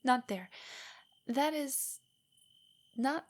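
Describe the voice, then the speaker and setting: A woman playing a nervous, nerdy, affectionate young girlfriend in an intimate audio roleplay, speaking softly and breathily in a hesitant, earnest way. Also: high voice, lively intonation, drawn-out words, heavily acted. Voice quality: soft, breathy, whispery. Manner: hesitant, rambling, earnestly explanatory.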